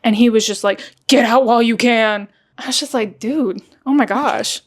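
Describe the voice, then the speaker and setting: A woman with an American accent chatting casually as a podcast guest, exclamatory and quick to laugh. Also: on the high side. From United States, raised partly in Germany. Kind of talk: casual conversational interview.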